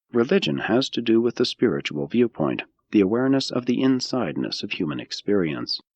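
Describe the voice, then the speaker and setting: A man reading a scripted audiobook of religious philosophy in a measured, solemn, deliberate way. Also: low voice, breathy edge, dry recording, muffled recording. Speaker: audiobook narrator.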